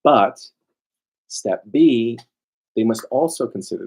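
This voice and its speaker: Man, low gravelly voice, informal seminar lecture, emphatic, wandering into asides, rhetorical questions. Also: a little mellow, low-quality recording. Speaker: lawyer presenting a seminar.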